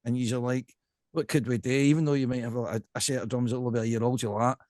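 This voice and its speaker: A man's voice: mid-range voice, fairly even intonation, quick pace, a little mellow, dry recording, clean studio sound. A man with a Scottish accent hosting a casual interview, talking in an emphatic, rambling way and often checking in with the listener. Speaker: podcast host.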